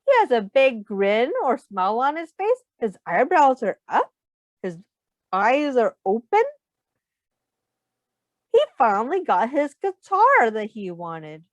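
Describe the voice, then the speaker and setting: A woman reading a picture book aloud to young children, expressively, pausing to ask simple guiding questions, on a slightly dull recording. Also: fairly high pitch, sing-song, no echo.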